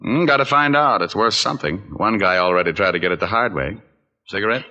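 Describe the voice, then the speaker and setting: An American actor playing a breezy, flippant private detective in a 1940s radio drama, tossing off deadpan quips. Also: male voice, on the low side, fast talker, dull audio. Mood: teasing, casual.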